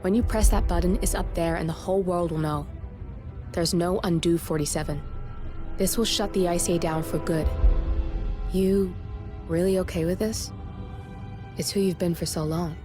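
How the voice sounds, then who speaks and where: Hacker sidekick , female performer: brisk and wry game character, lowish voice, casual phrasing, music underneath. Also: steady tone, lightly acted. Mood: businesslike. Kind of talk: video game cinematic acting. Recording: music behind the voice.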